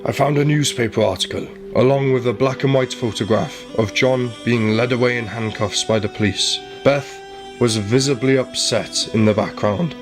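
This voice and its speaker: An earnest male investigator in an audio drama, played by an actor. The voice sits at a middling pitch with a formal, scripted delivery. Music plays clearly underneath. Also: normal speed, natural voice. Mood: sombre, factual. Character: earnest male investigator recounting a tragic case. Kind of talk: radio play acting and scripted narration.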